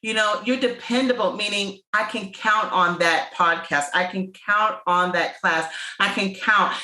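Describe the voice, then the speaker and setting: A woman's voice: mid-range voice, sharp tone, echoey room, poor audio. A woman guest in a podcast interview, speaking in an emphatic, motivational way and drawing out her points. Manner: emphatic and motivational, drawn-out delivery. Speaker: interview guest, business owner. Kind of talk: casual interview chat.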